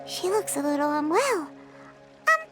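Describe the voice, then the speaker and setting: Little squeaky game fairy, super high, chatty and well-meaning, music under it. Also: female voice, sing-song, slow pace, heavily acted. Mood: concerned. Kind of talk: video game character dialogue.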